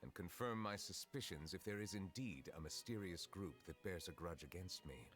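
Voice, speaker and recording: An actor playing a calm, formal, centuries-old judge in a video game, speaking in measured, precise sentences. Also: male voice, low voice. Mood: composed. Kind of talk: scripted video game dialogue. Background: game music.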